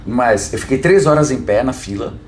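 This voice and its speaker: A hoarse man with a Brazilian accent chatting casually on a podcast, emphatically repeating his phrases with halting restarts. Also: medium pitch, lively intonation, darkish tone. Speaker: podcast host, hair tools business owner. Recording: roomy echo, faint background noise.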